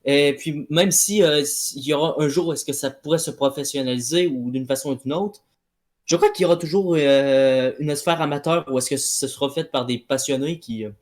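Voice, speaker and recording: A man's voice: fairly high pitch, a little fast. A man in a casual debate, talking colloquially and often rephrasing himself. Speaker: debate participant.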